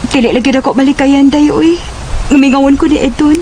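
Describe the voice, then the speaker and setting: A woman's voice: sits high, steady tone, brisk, dark tone, slight background noise, lightly acted. A woman playing a shy, devoted young sweetheart in Cebuano radio drama, with a Cebuano accent. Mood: longing.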